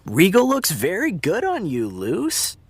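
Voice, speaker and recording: A man playing a gentle, easygoing actor and supportive confidant in an audio drama, measured and dryly teasing. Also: sits high, huge pitch swings, breathy edge, no echo, full character voice. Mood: gently teasing. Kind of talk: naturalistic audio drama dialogue.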